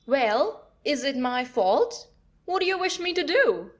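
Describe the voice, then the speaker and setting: Woman playing a high-voiced, defiant young wife. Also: sing-song, a little slow. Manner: theatrical, haughty. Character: defiant, flippant young wife, mock-innocent. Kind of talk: classic stage comedy audio play.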